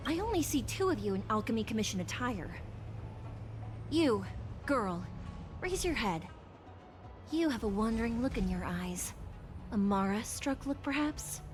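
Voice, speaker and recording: A woman's voice: on the high side, lively intonation, a little slow, long pauses, over music. A woman playing a stern, imperious judge in a video game, speaking in a formal, commanding way. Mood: suspicious.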